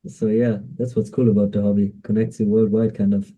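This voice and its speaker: A man's voice: lowish voice, level tone, brisk, dark tone, breathy edge, poor audio. A man co-hosting a podcast, speaking casually and hesitantly with many fillers. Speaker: podcast co-host. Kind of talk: casual interview chat.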